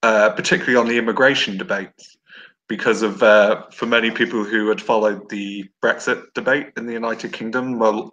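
A young man moderating a webinar over a video call, speaking briskly and persuasively with many fillers. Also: fairly low pitch, steady tone, pushed and bright, lo-fi sound. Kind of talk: casual discussion. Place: indoor room with brick wall. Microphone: video call webcam.

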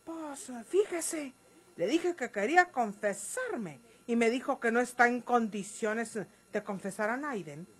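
A woman's voice: on the high side, expressive, a little slow, husky. An actress playing a stubborn, folksy woman with a rural Mexican accent in a radio drama. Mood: earnest, evasive.

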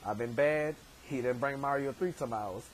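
A man in his thirties, hoarse from shouting, hosting a casual vlog from his bedroom on a webcam, rambling with many stammered repeats. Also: medium pitch, normal speed, a little hiss or hum. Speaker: show host. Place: bedroom, indoors. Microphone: webcam at desk distance.

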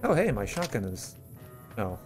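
A man's voice: on the low side, big pitch swings, moderate pace, faint music behind. A man giving casual, rambling game commentary, thinking aloud as he plays. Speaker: gameplay host.

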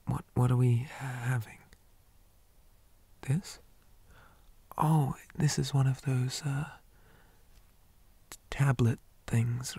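A man playing a shy, old-fashioned zombie boy on a date in an ASMR roleplay, speaking softly and breathily, often near a whisper, with hesitant stammers and formal wording. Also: medium pitch, husky. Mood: nervous, rambling. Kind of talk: ASMR roleplay, soft half-whispered talk.

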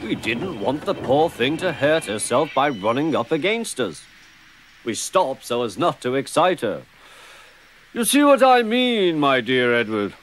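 A man's voice: mid-range voice, sing-song, unhurried, a bit bright, a little hiss or hum. A man voicing a proud, boastful big male steam engine in a storybook, talking in a pompous way. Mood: haughty.

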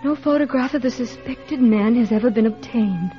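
An actress as an earnest teenage girl, high-voiced, old radio. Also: normal speed, natural voice. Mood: tense reading. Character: earnest, troubled teenage girl, devoted niece. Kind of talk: 1940s radio acting. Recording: dull 1940s radio recording, music behind.

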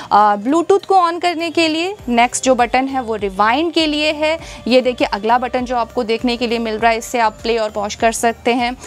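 Young woman, higher-pitched voice, product review presenter, step-by-step explanations, music behind. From India. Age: young adult. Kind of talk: product demonstration, addressing viewers. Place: indoors, small room stacked with product boxes.

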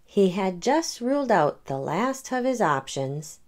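A woman hosting a children's read-aloud, narrating a picture book in an expressive storytelling manner. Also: mid-range voice, unhurried.

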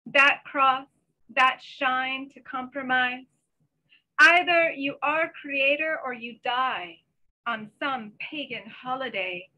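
A woman's voice: high-pitched, bright, forceful tone. A middle-aged woman, a poet presenting and reading her own work over a video call from home. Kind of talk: poetry reading with informal introductions. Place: small bedroom at home. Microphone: computer mic on a video call. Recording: slightly dull video call audio.

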